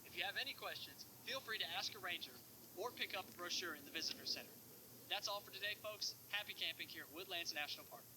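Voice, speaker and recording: Man acting as park ranger, highish voice, brisk, polite scripted announcements, cheesy visitor video, faint background noise. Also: natural voice. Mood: upbeat, official. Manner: polite, formal, scripted presenting. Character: cheery, earnest male park ranger hosting safety announcements. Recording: noisy found-footage park video.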